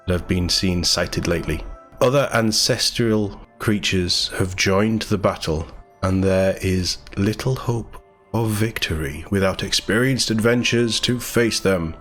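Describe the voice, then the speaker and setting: A man hosting a gaming news read-through, chatty and informal, with enthusiastic commentary as he goes. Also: fairly low pitch. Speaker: host of a gaming news channel. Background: background music.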